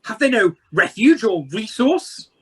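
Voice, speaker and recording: A man's voice: high-pitched, sing-song, a little slow, breathy edge, exaggerated voice. A man playing an elderly, humbled miser with formal, theatrical delivery in an amateur radio play. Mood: uneasy. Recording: noisy amateur video call audio.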